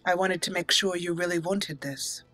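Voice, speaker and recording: Bright-voiced woman doing roleplay game commentary. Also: lowish voice, steady tone, normal speed, no room sound, soft background music. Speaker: gaming channel host. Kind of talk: roleplay let's play commentary, reading dialogue aloud.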